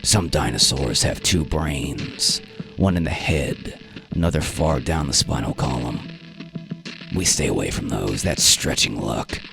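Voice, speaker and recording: A man's voice: very deep voice, expressive, measured pace, vocal fry, over music, voice pushed down, exaggerated voice. A man playing a stern, commanding safari leader in a radio drama, giving blunt warnings in emphatic short phrases. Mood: matter-of-fact warning. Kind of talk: radio drama character reading.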